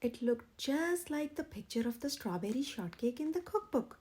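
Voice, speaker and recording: A woman narrating a children's storybook in an expressive, measured way. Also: high voice, husky. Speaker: children's read-aloud channel narrator.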